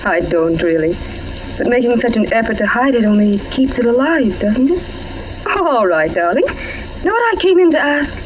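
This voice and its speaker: An actress playing a composed, affectionate, knowing young wife in 1940s radio drama style. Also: female voice, a little high, very animated, moderate pace. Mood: knowing, tender. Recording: muffled, faintly noisy 1940s radio recording.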